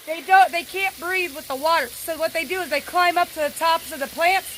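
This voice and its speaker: High-voiced woman with a cold, chatting outdoors. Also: a little fast, a bit bright, slight background noise, poor audio. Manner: folksy, informal, chatty. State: getting over a cold, sore throat. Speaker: gardening vlogger, host. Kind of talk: casual how-to vlog talk. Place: outdoors in a home garden.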